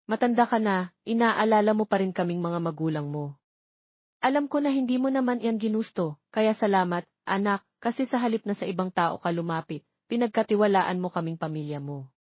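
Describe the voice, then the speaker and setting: A woman storyteller voicing a gentle, solemn older father speaking to his grown daughter. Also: mid-range voice, dry recording, lo-fi sound, lightly acted.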